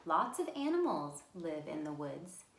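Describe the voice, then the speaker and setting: A woman's voice: deep voice, sing-song, measured pace, echoey room, low-quality recording. A woman hosting a children's storytime, speaking simply and encouragingly as if to young children. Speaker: children's library storytime host.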